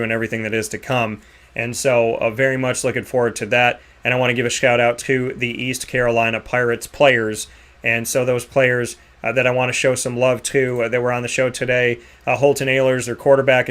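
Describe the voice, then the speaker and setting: A man hosting a sports radio show, giving rambling, energetic shout-outs and sponsor plugs. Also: mid-range voice. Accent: Boston. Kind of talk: enthusiastic sign-off with sponsor plugs.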